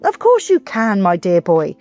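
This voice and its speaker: A woman voicing a wildly excited, impatient father with grand schemes in a children's audiobook, emphatic and urgent. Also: mid-range voice, measured pace. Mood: eager. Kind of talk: audiobook character voice for children's story. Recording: muffled audio with music behind.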